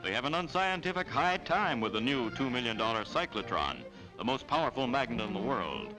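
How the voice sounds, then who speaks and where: A man's voice: mid-range voice, sing-song, a little fast, rough recording. An American man giving jaunty, scripted 1940s newsreel narration as an announcer. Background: music.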